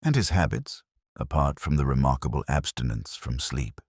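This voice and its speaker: A man voicing a calm, curt gentleman detective with a smooth, formal manner in an audiobook story. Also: a bass voice, moderate pace, dry recording, studio quality, heavily acted. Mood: dry, inquiring.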